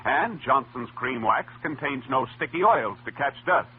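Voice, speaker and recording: A man's voice: mid-range voice, lively intonation, normal speed, a little hiss or hum. An American man reading scripted advertising copy as a vintage radio announcer, in a persuasive sales delivery. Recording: muffled, tinny vintage radio recording.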